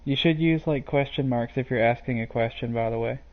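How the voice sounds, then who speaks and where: A man's voice: medium pitch, brisk. A man talks through his gameplay live, drifting from point to point with lots of ums and uhs. His voice sits in an ordinary middle range. The mic sounds dull and lo-fi. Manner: rambling and hesitant, many fillers. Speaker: game streamer.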